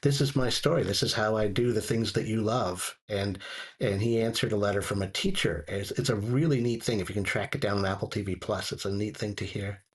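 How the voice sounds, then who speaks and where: A man's voice: on the low side, fairly quick. A man contributing to a casual chat show, speaking hesitantly as he searches for words.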